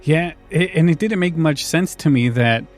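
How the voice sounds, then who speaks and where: A man's voice: fairly high pitch, normal speed. Podcast host guy, jokey blunt complaining, casual chat, little bit of music behind. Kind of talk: casual film discussion.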